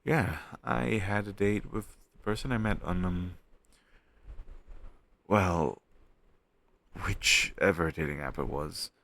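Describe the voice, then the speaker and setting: Deep, gravelly, playful young man, acted. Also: takes it slowly, long pauses, dry recording, exaggerated voice. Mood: exasperated venting. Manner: casual, expressive, bantering. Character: playful, teasing young man secretly fond of best friend. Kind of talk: roleplay audio drama, intimate casual chat with listener.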